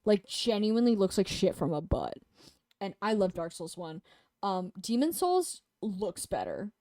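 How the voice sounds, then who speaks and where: A young woman talks casually through a game tier list, rambling and swearing freely. She sounds a little sniffly and hoarse, as if she is losing her voice. Her voice sits at a middle pitch and is recorded very dry. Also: very animated, unhurried, dark tone, no echo. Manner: rambling and profane, with many fillers. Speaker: co-host reviewing games. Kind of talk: casual chat.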